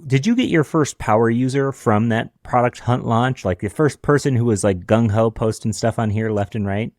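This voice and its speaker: A man's voice: mid-range voice, normal speed, a little mellow, no room sound, studio quality. A man hosting a podcast, asking casual, enthusiastic questions. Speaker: podcast host.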